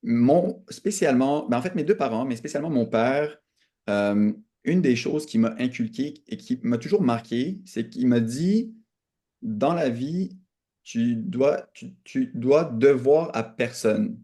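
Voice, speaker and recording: Young male podcast guest, Quebec French accent. Soft-edged, warm tone, earnest and reflective conversational delivery. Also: mid-range voice, lively intonation, unhurried. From Canada. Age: young adult.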